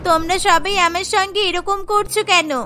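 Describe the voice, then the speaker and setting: Cartoon schoolgirl, played by a woman: extremely high, piercing, plaintive, music underneath. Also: fairly even intonation, moderate pace, sharp tone. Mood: hurt, pleading. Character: schoolgirl, childlike, whiny and pitying. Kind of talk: cartoon story dubbing.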